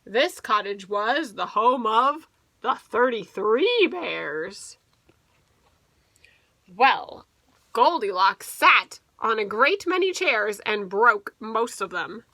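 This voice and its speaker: A woman with a high, bright voice reads a children's picture book aloud as a teacher would. Her delivery swings expressively up and down, and she drops in explanatory asides for young listeners. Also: sing-song, slow pace, no room sound. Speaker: teacher reading to a young class.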